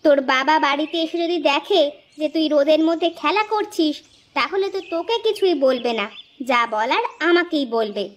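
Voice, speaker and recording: A woman's voice: sits high, lively intonation, moderate pace. A woman playing a doting, worrying village mother and wife in a cartoon, fretful, pleading and coaxing. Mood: mild scolding. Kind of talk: cartoon story dialogue.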